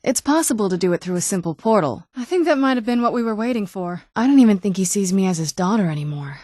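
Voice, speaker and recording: A woman acts out a young-looking vampire noblewoman from a fantasy video game. She is wry and guarded, a wounded daughter who pauses before her dry understatements. Her mid-pitched voice is recorded close and very dry, with no room around it. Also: lively intonation, darkish tone, dry recording, lightly acted. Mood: curious, matter-of-fact, then wistful, sad. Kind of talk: video game character dialogue.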